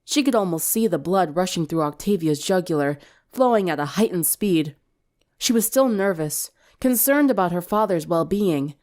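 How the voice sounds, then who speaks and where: A woman narrating a story dramatically in an expressive, theatrical way. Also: on the low side, moderate pace. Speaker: fan fiction reader.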